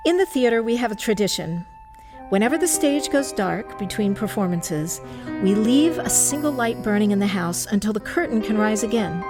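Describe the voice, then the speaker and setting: A woman delivers a scripted welcome as an artistic director. Her pitch is average for a woman, and loud music plays behind her words. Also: expressive, normal speed. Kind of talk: scripted introduction.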